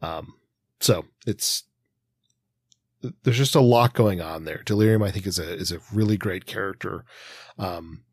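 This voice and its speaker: Low-voiced man, dry close podcast sound. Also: measured pace, long pauses, breathy edge, dry recording. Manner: wordy, hesitant, thinks aloud in long winding sentences. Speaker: podcast host. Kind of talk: casual analytical discussion of comics.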